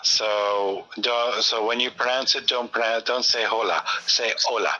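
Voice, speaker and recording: A man in his forties from Ireland with an Irish accent, chatting casually and patiently slowing down to explain grammar. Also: on the low side, steady tone, bright, forceful tone, low-quality recording. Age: 40s. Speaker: conversation partner. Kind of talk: casual chat.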